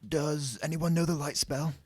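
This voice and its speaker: A man's voice: on the high side, big pitch swings, fast talker, breathy edge, no room sound, voice pushed up. A man voicing a nervous, sulky teenage boy wizard in a comic storybook tale. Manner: nervous, whimpering.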